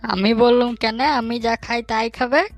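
A man playing a hapless, cheeky young bachelor in a comic cartoon, in colloquial rural western West Bengal dialect with a pitched-up voice. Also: fairly even intonation, measured pace, no echo, faint music behind. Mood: naive. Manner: colloquial, pleading, bantering.

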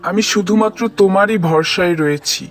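A man's voice: sits high, a little fast, lightly acted. A man voicing a devout, trusting traveler in a cartoon, earnest and prayerful in manner. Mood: distressed, pleading. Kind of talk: cartoon voice acting.

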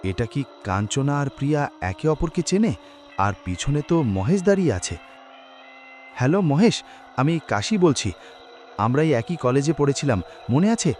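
A man's voice: fairly high pitch, very animated, moderate pace, long pauses, a little mellow, slight background noise. Overwrought haunted young man, wildly swinging delivery by an actor. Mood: puzzled, urgent. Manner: overwrought, pleading, exclaiming. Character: panicky young man haunted by his dead lover. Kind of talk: melodramatic horror cartoon dialogue.

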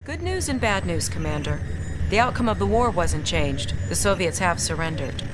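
An actress playing a dutiful young military lieutenant in a video game cutscene, speaking formally and earnestly. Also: female voice, fairly low pitch, animated, normal speed, noisy background, lightly acted. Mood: serious briefing. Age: young adult. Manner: formal, earnest briefing delivery. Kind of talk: live-action video game cutscene acting. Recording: music behind the voice.

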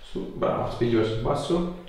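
A man, an osteopath giving brisk short directions and questions to a patient in a treatment room. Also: darkish tone. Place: small treatment room, indoors. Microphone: camera a few metres away. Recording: roomy echo, distant mic, faint noise.

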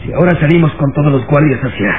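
A man's voice: on the high side, expressive, fast, background noise, lightly acted. Man playing a cowardly henchman, tinny old radio. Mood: shaken, spent. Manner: pleading, agitated, theatrical. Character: frightened, cowardly slave overseer, male henchman. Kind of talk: vintage radio drama acting.

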